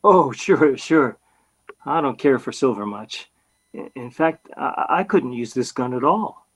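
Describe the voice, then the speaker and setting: A man's voice: mid-range voice, expressive, a little slow, breathy edge. An actor playing a bumbling, sheepish customer who stammers through his bluffs in an old-time radio comedy. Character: flustered, bumbling male customer bluffing as big-game hunter.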